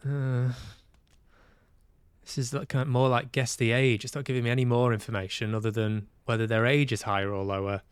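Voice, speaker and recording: A man's voice: mid-range voice, little pitch movement, a little fast, clean tone, dry recording. A man chatting casually as he hosts a livestream, hesitant and musing aloud to himself. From Manchester, UK. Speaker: livestream host. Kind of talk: casual livestream chat while playing games.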